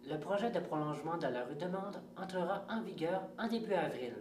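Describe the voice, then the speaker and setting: A woman reporter reading a scripted news piece in a steady, formal way. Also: fairly low pitch, fairly quick, faint music behind.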